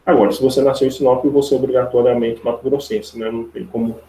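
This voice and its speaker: A Brazilian man with a creaky voice teaching a live online logic lesson step by step, informally, repeating himself and checking for agreement with tag questions. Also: medium pitch, little pitch movement, fast, mellow, a little hiss or hum. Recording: roomy, echoey online class audio.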